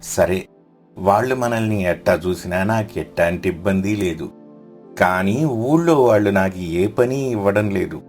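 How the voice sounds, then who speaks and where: A man's voice: sits low, a little slow, a little mellow, faint music behind. A man voicing a poor, devout villager in a moral story, earnest and humble. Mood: troubled. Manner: earnest, pleading.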